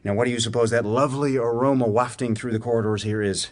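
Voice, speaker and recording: An actor playing a wry, probing academic research professor in a radio drama, with a dryly sardonic, precise way of talking. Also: male voice, mid-range voice, fairly even intonation, fast talker, close to an everyday voice. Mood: playfully sardonic. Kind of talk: radio theatre acting, dramatic dialogue.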